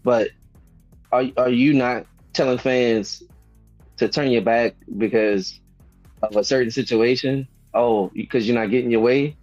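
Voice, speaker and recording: A man's voice: mid-range voice, steady tone, unhurried, a little mellow. Man, guest, scornful, curses, muffled remote audio, faint music. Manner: scornful, swears. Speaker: podcast guest. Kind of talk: wrestling podcast rant.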